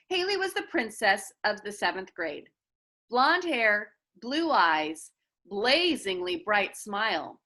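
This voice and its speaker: A woman reading a children's novel aloud as an expressive storyteller. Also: mid-range voice. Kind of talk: expressive children's book narration.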